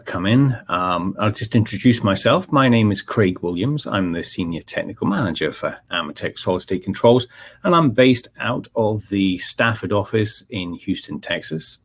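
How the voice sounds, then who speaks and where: A man's voice: lowish voice, expressive, a little slow, breathy edge. A middle-aged Scottish man with a Scottish accent hosting a live technical webinar, talking conversationally with many fillers and restarts. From Scotland. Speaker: webinar presenter, technical manager.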